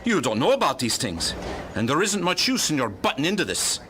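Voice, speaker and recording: An actor playing a pompous, irritable and stubborn police detective in a pulp radio drama, brusque and blustering. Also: male voice, fairly high pitch, huge pitch swings, a little fast, background noise. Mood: self-important, dismissive. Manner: blustering, brusque, talks over others.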